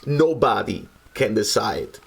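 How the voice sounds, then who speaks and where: A man from Naples with a Neapolitan Italian accent and Italian as his first language, reading an earnest, measured personal statement. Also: lowish voice, lively intonation, gravelly, breathy edge. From Naples, Italy. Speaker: musician.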